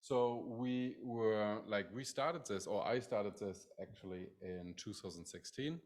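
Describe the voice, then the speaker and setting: Low-voiced German man presenting. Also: a little slow, darkish tone. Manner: many "like" fillers, restarts. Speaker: professor presenting his lab. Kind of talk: conference presentation.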